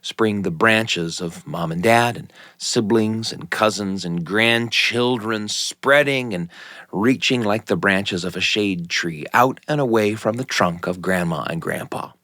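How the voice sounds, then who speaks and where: A man hosting a story program, narrating in a measured, deliberate storytelling manner with dramatic pauses. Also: fairly low pitch, sharp tone, dry recording, studio quality. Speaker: radio show host and storyteller. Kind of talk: scripted narration and hosted chat.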